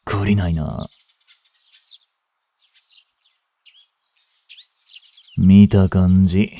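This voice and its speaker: A man acting as a cynical, aloof young street delinquent in a voice drama, with rough, casual, lazily teasing speech, close to the mic. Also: on the low side, dark tone, no room sound. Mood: exasperated. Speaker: voice actor. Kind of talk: Japanese voice drama aimed at women, one-sided dialogue. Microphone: close studio mic. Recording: dry close-miked studio recording.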